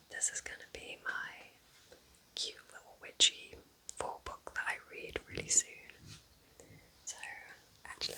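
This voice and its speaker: A British woman whispering softly in an unhurried, chatty ASMR style, gently rambling as a host. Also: rough recording. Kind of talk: whispered ASMR, gentle roleplay book chat.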